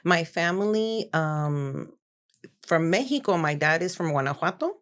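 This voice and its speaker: A woman's voice: fairly low pitch, lively intonation, unhurried. A woman in her forties from Texas, a guest in a podcast interview, telling her story in a chatty way with frequent laughter. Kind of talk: casual interview chat.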